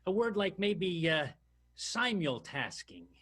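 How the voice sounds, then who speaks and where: A man playing a brooding, slightly dim New York police detective, musing haltingly in an improvised radio soap. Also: high-pitched, very animated, full character voice. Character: brooding, slightly dim hard-boiled NYPD homicide detective.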